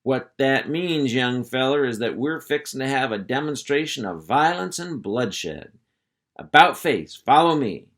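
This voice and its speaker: A man's voice: medium pitch, expressive, a little slow, a bit bright, voice pushed up. A man voicing a boastful, self-important male cow dog who bosses a pack of pups, with a folksy drawl. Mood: blustering. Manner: folksy drawl, bossy and blustering.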